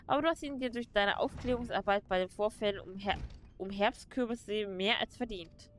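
A woman reading a solemn game character's quest dialogue aloud in a measured tone. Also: mid-range voice, a little hiss or hum, close to an everyday voice. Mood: earnest.

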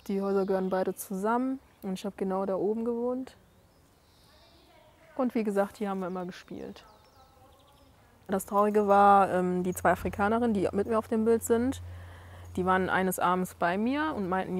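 A young woman in her twenties recalls things in a reflective, hesitant way, with restarts and fillers. Her voice sits at an ordinary middle pitch. The recording has a noticeable roomy echo from a lapel mic. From Germany. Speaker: documentary interviewee. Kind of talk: reflective documentary testimony. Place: small room indoors and street outdoors.

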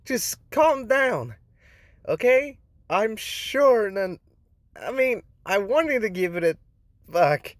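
A man's voice: high-pitched, sing-song, slow pace, no room sound, studio quality, heavily acted. A man voicing an anxious, placating, devoted young boyfriend in a cartoon comic dub. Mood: flustered pleading. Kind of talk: fan comic dub acting.

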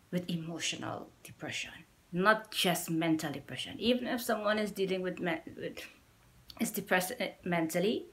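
A middle-aged woman from Trinidad and Tobago with a Trinidadian accent, sharing a personal story to camera at home in an earnest, pleading way and repeating points for emphasis. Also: on the low side. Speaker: YouTuber. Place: small indoor room at home.

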